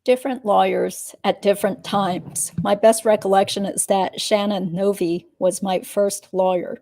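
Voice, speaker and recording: Mellow, guarded woman reading as a female witness. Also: mid-range voice, fairly even intonation, creaky. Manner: terse, measured, guarded answers. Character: guarded adult female witness being deposed. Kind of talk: dramatic reading of a legal deposition transcript.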